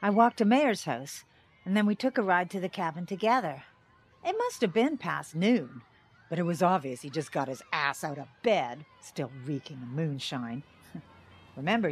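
A woman's voice: low voice, slightly rough, heavily acted. An actress playing a bitter middle-aged small-town teacher and mother, giving wry, theatrical testimony in an audio drama.

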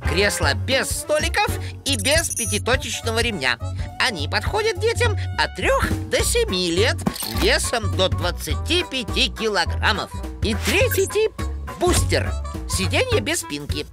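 A cartoon lady owl with a motherly, schoolteacherly manner. Her voice is fairly high and swoops dramatically as she explains things. Music plays behind her. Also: a little slow. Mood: instructive. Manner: didactic, expressive lecturing. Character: motherly, instructive female owl, fussy schoolteacher type. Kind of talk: animated educational cartoon acting.

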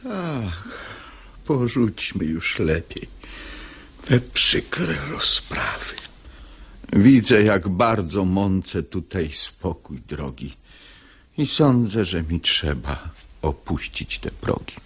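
An actor playing a sanctimonious, scheming hypocrite who feigns wounded humility in unctuous, martyred verse in a radio comedy. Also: male voice, low voice, very animated, slow, breathy edge, full character voice. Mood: falsely humble.

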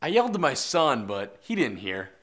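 Man in his thirties, very lively intonation, mid pitch, upbeat comic presenter, scripted promo. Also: moderate pace. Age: 30s.

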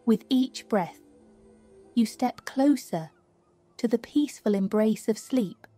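Soft, mellow woman narrating sleep meditation. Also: on the high side. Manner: slow, soothing, hushed delivery. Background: music.

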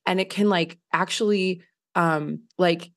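A woman in her thirties, hoarse from a recent illness, telling a story on a podcast in a casual, filler-heavy way, laughing often. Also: fairly low pitch, fairly even intonation, measured pace. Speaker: podcast co-host. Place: home living room by windows. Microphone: large studio mic on video call.

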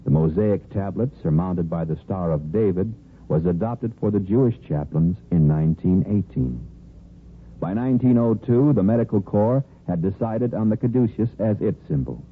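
A man's voice: low-pitched, animated, normal speed. A man with an American accent reading formal scripted narration as a 1950s radio announcer. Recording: tinny, faintly hissy old radio recording.

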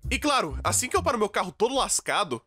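Theatrical Brazilian man with animated pitch swings. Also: quick pace, husky, dry recording, studio quality. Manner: theatrical, exaggerated, swinging from low mutters to shrill outbursts. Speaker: narrator. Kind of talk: animated comedic story narration, fan dub.

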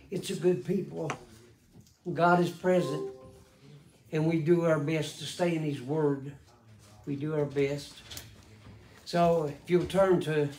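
An older man leading songs at a small church, speaking in a slow, folksy and earnest way. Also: fairly high pitch, darkish tone. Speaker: song leader. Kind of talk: church announcements and song leading. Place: small wood-paneled church sanctuary. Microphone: stand mic at pulpit, heard from far camera. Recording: echoey room from far off, background noise.

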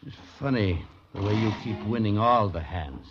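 A man's voice: fairly low pitch, lively intonation, moderate pace, natural voice. An actor playing a wealthy, proud and touchy businessman castaway in a classic radio drama. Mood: defensive, touchy. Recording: muffled, noisy old radio recording.

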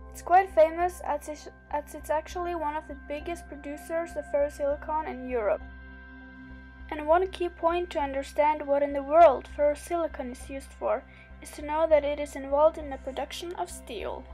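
Teen female student narrator, very high voice, scripted documentary read over music. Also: fairly even intonation, moderate pace, mellow. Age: teens. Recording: music bed under the voice.

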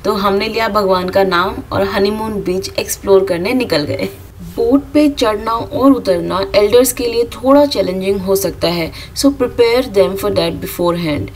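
A woman's voice: mid-range voice, unhurried, distant mic, rough recording. A woman with an Indian accent giving travel advice in a vlog voice-over, mixing Hindi and English. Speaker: vlogger narrating.

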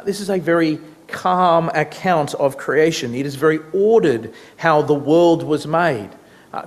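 An Australian man in his thirties preaches at a measured, unhurried pace, his voice sitting high. He speaks with animated emphasis into a stand mic. The hall around him rings with a long reverb. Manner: animated, big hand gestures while preaching. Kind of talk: sermon. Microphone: stand mic at a lectern.

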